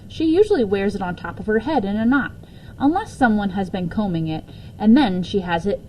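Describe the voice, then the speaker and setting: A woman reading a children's book aloud as a storyteller, expressively and deliberately, with occasional stumbles and self-corrections. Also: medium pitch, dark tone, slight background noise, rough recording. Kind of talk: read-aloud children's story with casual asides.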